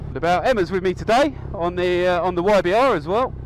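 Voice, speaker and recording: A man's voice: sits high, expressive, fast talker. Chatty man riding a motorbike. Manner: chatty, rambling, upbeat with filler ums. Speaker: motovlogger. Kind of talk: casual motorcycle vlog. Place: outdoors on a moving motorcycle. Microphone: helmet mic. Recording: faint road and engine noise.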